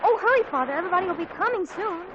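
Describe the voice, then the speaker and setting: An actress playing an excitable teenage girl fussing over her own party in a 1940s radio comedy, talking in breathless, gushing chatter. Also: very high-pitched, rapid-fire. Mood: excited, gushing. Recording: muffled old radio broadcast with hiss.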